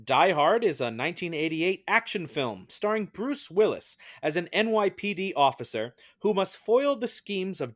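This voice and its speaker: A man's voice: fairly high pitch, a bit bright. A man hosting a mock trial as presiding judge, reading a script in a formal, ceremonious way.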